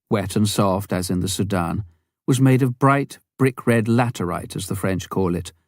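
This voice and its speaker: A man's voice: fairly low pitch, a little mellow, no echo, studio quality. A man narrating a memoir as a scripted audiobook reading.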